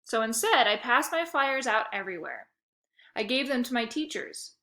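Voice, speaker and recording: A woman reading a children's novel aloud with a lively, expressive storytelling manner. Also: on the high side, normal speed. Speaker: reader.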